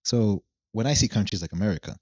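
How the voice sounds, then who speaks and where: A young man from Zambia, a podcast guest, explaining his background at length in a relaxed chat. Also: fairly low pitch, fast, no echo, highs cut off. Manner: relaxed storytelling, long explanations. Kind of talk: casual chat.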